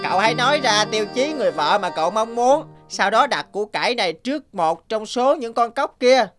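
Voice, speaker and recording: A woman's voice: fairly high pitch, expressive, brisk, music in the background, close to an everyday voice. A woman voicing a wise, grateful talking toad in a fairy-tale cartoon, assured and instructive. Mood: musing. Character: wise grateful talking toad granting magical help to a prince.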